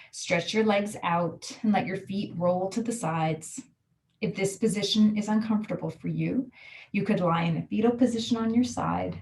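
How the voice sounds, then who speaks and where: A woman guiding a relaxation meditation, giving calm, soothing, measured instructions. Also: on the low side. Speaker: yoga nidra guide.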